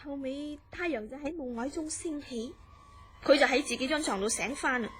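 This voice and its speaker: High-voiced earnest woman, acted telling eerie tales. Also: normal speed, a bit bright, slight background noise. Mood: grave recounting. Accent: Hong Kong Cantonese. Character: earnest woman recounting a friend's eerie dreams. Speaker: radio drama actor. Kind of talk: radio drama dialogue, recounting a strange story.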